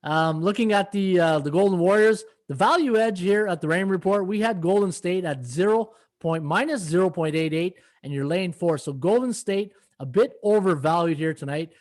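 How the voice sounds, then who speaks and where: Hoarse middle-aged man talking betting picks. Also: high-pitched, normal speed, hardly any pauses. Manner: rambling, many fillers, reads stats and chat. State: slightly hoarse. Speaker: podcast host and sports handicapper. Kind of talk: casual live-stream betting analysis.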